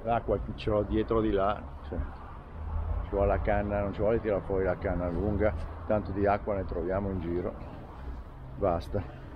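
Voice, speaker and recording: A man's voice: fairly low pitch, little pitch movement, normal speed. A middle-aged man, chatting casually for a travel vlog. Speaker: travel vlogger. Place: outdoors and inside a camper. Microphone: handheld camera mic. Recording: muffled, noisy camera audio.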